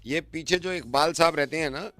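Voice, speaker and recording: A man making a prank phone call as a radio host, talking in a calm, matter-of-fact way with drawn-out pauses. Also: fairly high pitch, no room sound.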